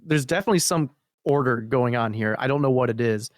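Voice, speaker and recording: A man's voice: mid-range voice, quick pace, no echo, clean studio sound. A man co-hosting a podcast, chatting about horror videos in a blunt, jokey, sweary style. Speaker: podcast co-host. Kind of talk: casual joking chat about horror videos.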